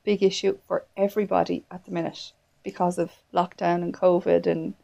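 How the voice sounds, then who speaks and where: A woman's voice: lowish voice, little pitch movement, a little fast, gravelly, warm tone, dull audio. A woman in her thirties with an Irish accent, hosting a candid, casual chat and swearing freely. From Ireland. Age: 30s. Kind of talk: casual conversation.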